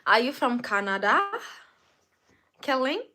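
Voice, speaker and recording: Slow-talking streamer woman, chatting to viewers. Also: a little high, sing-song. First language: not English. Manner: talks straight to the chat, repeats phrases over and over. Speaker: livestreamer. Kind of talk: casual live-stream chat.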